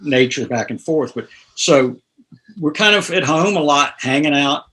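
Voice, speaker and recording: A man's voice: on the high side, animated, a little slow, a bit bright, breathy edge, low-quality recording. A man in his sixties from North Carolina, a guest telling rambling anecdotes in a casual interview. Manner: rambling, anecdotal, laughs through stories. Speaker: guest guitarist.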